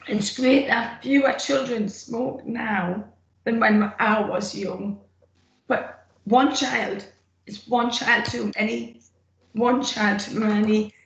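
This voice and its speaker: A middle-aged woman with a rough, gravelly throat, giving an earnest, plain-spoken personal testimony from her notes on a video call. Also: fairly high pitch, takes it slowly, highs cut off. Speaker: former smoker speaking at a roundtable. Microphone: computer mic on a video call. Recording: echoey room, faint noise.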